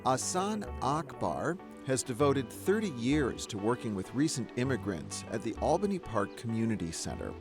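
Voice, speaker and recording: Lively wry male documentary narrator. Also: medium pitch, normal speed, few pauses. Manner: wry, conversational, lively delivery. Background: music under the narration.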